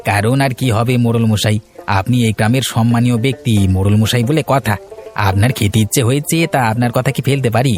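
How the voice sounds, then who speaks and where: A man's voice: mid-range voice, fairly quick, darkish tone, soft background music, lightly acted. A man playing a sly village schemer, by turns wheedling and bullying, in a cartoon. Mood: fawning, wheedling. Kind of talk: Bengali moral-story cartoon dubbing.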